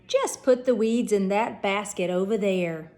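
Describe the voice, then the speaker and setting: A woman voicing a gullible male cartoon gardener, speaking high and fussy with lisped r's. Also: a little slow, darkish tone, natural voice.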